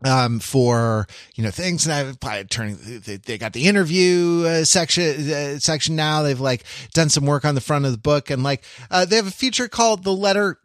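A man chats casually as a podcast co-host, his voice sitting a little higher than most. He rambles and halts, looping back over his own phrases. The sound is very dry, with no room around it. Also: no echo. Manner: rambling, halting, circles back and repeats phrases.

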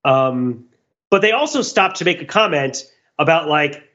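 A man talking casually as a podcast host, animated and rambling, often halting mid-thought. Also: fairly high pitch, muffled recording. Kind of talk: casual discussion of old television.

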